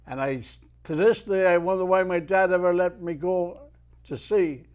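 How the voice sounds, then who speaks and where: An Irish man in his eighties, a retired mariner guest telling stories and reciting his own poetry in a measured way. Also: high-pitched, a little mellow, clear, resonant tone. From Ireland. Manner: measured storyteller who declaims verse with swelling emphasis. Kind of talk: unhurried storytelling and poetry recitation.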